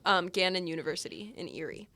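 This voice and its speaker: A woman's voice: on the low side, lively intonation, fast, pushed and bright. A young woman from Maryland with a slight lisp, chatting casually as a podcast guest, with many filler words and frequent laughs. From Maryland, USA. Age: young adult. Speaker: guest singer-songwriter.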